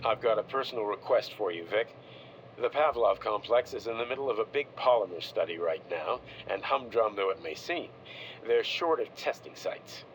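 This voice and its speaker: An actor playing a discreet Soviet official in a video game, asking favours in a casual, understated way. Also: male voice, fairly low pitch, normal speed, a little hiss or hum, close to an everyday voice, poor audio. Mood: confiding. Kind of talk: video game recorded-message acting.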